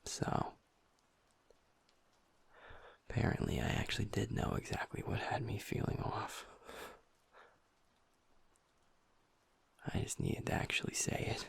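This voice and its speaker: A man voicing a happy-go-lucky young male werewolf boyfriend in an intimate audio roleplay, talking softly and earnestly to his partner. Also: fairly quick, lightly acted. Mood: earnest, resolute. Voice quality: soft, breathy, half-whispered. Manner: earnest, affectionate, talks to the listener as partner.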